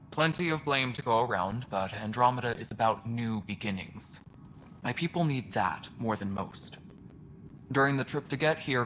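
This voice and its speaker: An actor playing a world-weary, blunt alien supervisor in a video game, with faint noise behind him. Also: male voice, medium pitch, a bit bright, close to an everyday voice, rough recording. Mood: earnest, resolute. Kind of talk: video game dialogue acting.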